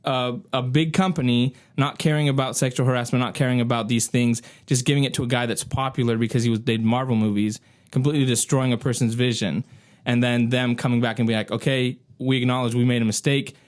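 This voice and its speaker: A man's voice: medium pitch, fairly even intonation, fast. A man co-hosting a casual podcast, arguing his point insistently with the earnestness of a fan. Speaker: podcast co-host.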